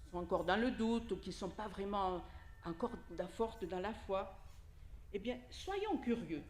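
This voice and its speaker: A woman's voice: medium pitch, a little mellow, echoey room, a little hiss or hum. A woman from Italy, a native Italian speaker, giving an informal sermon and talking directly to the congregation with questions and asides. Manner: conversational, addresses listeners directly with questions and asides. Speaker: lay preacher. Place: church hall during a service.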